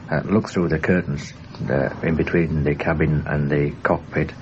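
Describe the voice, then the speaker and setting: A man's voice: extremely low pitch, a little mellow. A man giving a hesitant, factual first-person account for a radio documentary, on a muffled, hissy 1960s recording. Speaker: air crash survivor recounting his wartime experience.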